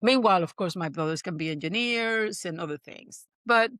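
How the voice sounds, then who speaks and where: A woman's voice: fairly low pitch, moderate pace, a bit bright, no echo, studio quality. Woman guest. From Puerto Rico. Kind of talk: storytelling interview.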